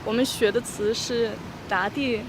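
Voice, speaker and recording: A young woman from Austria with a high, light voice, talking in a halting way with plenty of fillers and easy laughter. She is interviewed outdoors on a city street, and loud traffic rumbles behind her. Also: steady tone. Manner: hesitant, many fillers, laughs easily. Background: traffic and passing vehicles.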